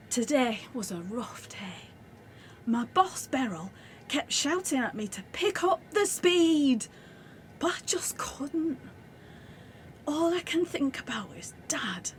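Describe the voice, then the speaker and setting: Radio play: anxious young 1940s factory worker, played by a woman, subdued diary reading, very slow, light background noise. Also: sits high. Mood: anxious, sad. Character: worried young wartime factory girl missing her father.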